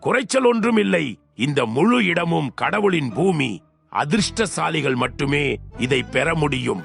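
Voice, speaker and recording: A man playing a sly, greedy swindler in an animated cartoon, talking in a scheming, swaggering way. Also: on the high side, measured pace, gravelly, soft background music, heavily acted. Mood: smug. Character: sly greedy male swindler selling temple land. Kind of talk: animated cartoon dub acting.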